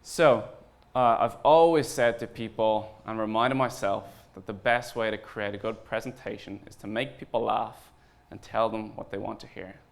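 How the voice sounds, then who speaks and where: A man's voice: fairly low pitch, moderate pace, creaky. Irish man giving an informal, earnest talk. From Ireland. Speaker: conference speaker, startup founder and designer. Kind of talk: conference talk.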